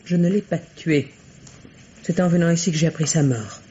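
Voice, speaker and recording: An actress playing a subdued, quietly insistent female suspect in a vintage French radio drama. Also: lowish voice, moderate pace, natural voice. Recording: dull, noisy vintage radio recording.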